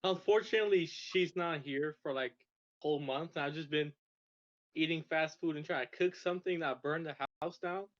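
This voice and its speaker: A man's voice: on the high side, moderate pace. A young man from Russia telling stories as a podcast guest, rambling with frequent restarts and stammers. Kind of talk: casual storytelling. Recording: dull lo-fi sound.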